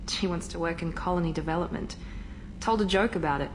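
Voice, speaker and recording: A woman plays a cool, self-controlled operative in a sci-fi game. Her voice sits low and her delivery is measured and reserved. Steady noise runs beneath it. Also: natural voice, rough recording. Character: composed adult female operative, cool and controlled. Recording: game audio with ambient noise.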